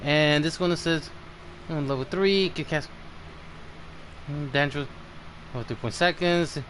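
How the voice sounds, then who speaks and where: A man streaming a game, giving casual live commentary in a halting, muttering way as he thinks aloud. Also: on the high side, a bit bright, slight background noise, poor audio. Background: game music.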